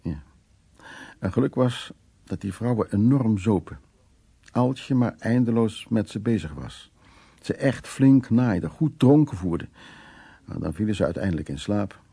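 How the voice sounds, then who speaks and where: Gravelly, dark-voiced male storyteller. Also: lowish voice, lively intonation, measured pace, long pauses, exaggerated voice. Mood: earthy, confessional. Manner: earthy, wry storytelling. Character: worldly, blunt man recounting wartime escapades in Berlin. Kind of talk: radio drama monologue.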